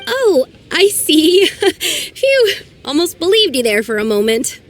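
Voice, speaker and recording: A woman's voice: extremely high pitch, very animated, takes it slowly, faint music behind, full character voice. A woman voicing an earnest young woman archaeologist who talks anxiously and sighs over her troubles. It is game dialogue. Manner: earnest and sighing. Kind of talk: game voice acting.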